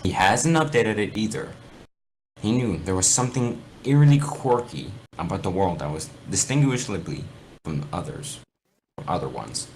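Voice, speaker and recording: A man reading aloud and talking casually in an amateur, sweary way over a game voice chat. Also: low-pitched. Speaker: fan fiction reader. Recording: noisy voice chat recording.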